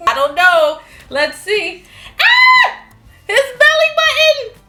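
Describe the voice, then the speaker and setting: A woman reading a children's storybook aloud as host, playful and animated, with questions for a child and singsong refrains. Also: very high voice, slow pace, roomy sound, shouting, soft background music.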